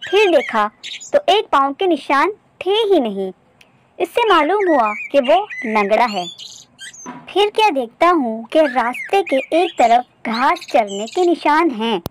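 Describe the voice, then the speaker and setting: A woman voicing a wise, observant male beggar from a children's story, explaining calmly, politely and patiently. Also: high voice, a little slow, a little hiss or hum.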